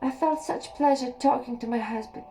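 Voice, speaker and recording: A woman reading a first-person erotic story aloud in a slow, intimate way, with soft pauses. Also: high voice, a little hiss or hum, low-quality recording. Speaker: audiobook narrator.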